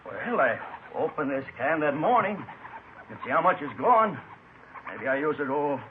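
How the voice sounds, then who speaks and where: Talkative shoeshine man, slightly high voice, hesitant broken English, folksy, evasive, vintage radio crime drama, phone-like sound. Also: sing-song, normal speed, a little hiss or hum. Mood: obliging. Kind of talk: old-time radio crime drama acting.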